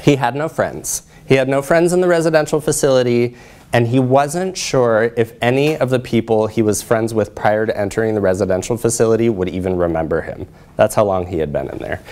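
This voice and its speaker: A middle-aged man presenting research in a lecture room, explaining in a measured way with frequent pauses. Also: medium pitch, a little mellow. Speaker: presenter, social work researcher. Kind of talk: academic lecture with slides.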